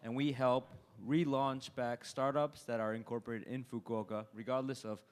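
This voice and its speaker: A man's voice: mid-range voice, fairly even intonation, normal speed, a little hiss or hum. A man speaking on a live panel at a hotel event stage, composed with formal phrasing. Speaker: city program director, panel speaker.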